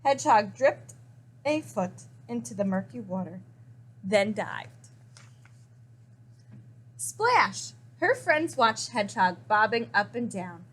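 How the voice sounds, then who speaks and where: A woman reading a children's picture book aloud expressively, with dramatic emphasis and pauses. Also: mid-range voice, big pitch swings, slightly rough. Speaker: story reader.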